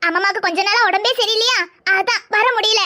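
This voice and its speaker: A woman's voice: very high-pitched, quick pace. A woman voicing an affectionate elderly grandmother in a cartoon, speaking with a southern Tamil Nadu accent and tender endearments, reluctant to impose. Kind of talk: cartoon character dialogue. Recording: very loud background noise.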